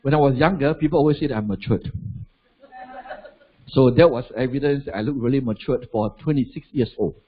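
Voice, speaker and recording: A husky man in his forties with a Malaysian English accent speaks to a seminar audience. He keeps it conversational and drops in "lah" and "right?" as he goes. The recording sounds dull and lo-fi, like a stage mic in a hall. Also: mid-range voice, brisk. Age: 40s. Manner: chatty, asks the crowd rhetorical questions, adds "lah". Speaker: motivational business speaker. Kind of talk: live seminar talk to an audience.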